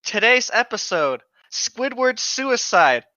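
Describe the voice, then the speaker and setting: A man's voice: very high voice, big pitch swings, takes it slowly, bright, forceful tone, a little hiss or hum. A man co-hosting a comedy show, chatting casually and mockingly reading stories with sardonic, sweary jokes, on a lo-fi microphone. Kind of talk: casual banter and mocking read-aloud of internet horror stories. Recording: dull, lo-fi microphone sound.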